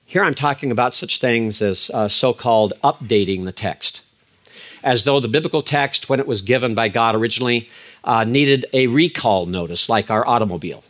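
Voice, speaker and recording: An older man giving a lecture as a biblical Hebrew teacher, measured and emphatic, with frequent fillers and restarts. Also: mid-range voice, fairly bright tone. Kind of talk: recorded lecture.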